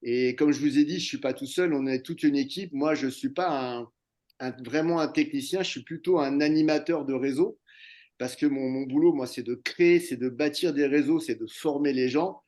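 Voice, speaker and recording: A man leading a network marketing video call, giving an eager pitch with many fillers and restarts. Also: normal speed, a little mellow. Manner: hesitant, many fillers and repeated words. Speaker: network marketing team leader hosting a meeting. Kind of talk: enthusiastic recruiting pitch.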